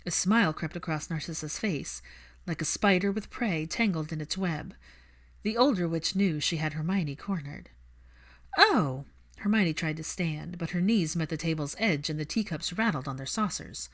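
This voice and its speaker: A woman's voice: low-pitched, moderate pace. A woman reading fiction aloud as an audiobook narrator, in a scripted storytelling style.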